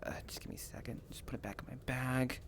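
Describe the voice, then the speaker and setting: A man's voice: medium pitch, fairly even intonation, fast, in a whisper. A man improvising in an online roleplay and narrating his actions in a hesitant way, speaking into a headset. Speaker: roleplay player. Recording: slightly noisy headset voice chat.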